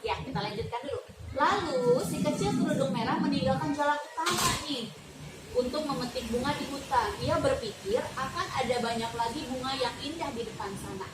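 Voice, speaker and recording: A young woman reading a fairy tale aloud to children, lively and often stopping to ask them questions. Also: high-pitched, brisk. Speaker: student teacher. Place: classroom. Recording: roomy classroom from far off, background noise.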